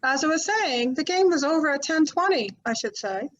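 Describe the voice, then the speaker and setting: A woman's voice: high voice, animated, moderate pace, muffled recording. A woman playing a flustered widow in a 1940s-style radio mystery, talking in a breathless, stammering way. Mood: shaken. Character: flustered middle-aged widow, woman, quick to defend herself.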